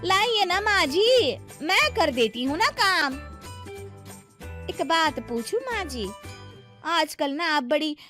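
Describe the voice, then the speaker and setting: A young village bride in a cartoon, sassy and fussy. Her voice is very high and bright, bouncing widely in sing-song, drawn-out phrases. Music plays underneath. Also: sing-song, heavily acted. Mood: gentle, coaxing. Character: young village-born daughter-in-law, sassy, fussy, sweet-talking. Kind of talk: animated moral story voice acting.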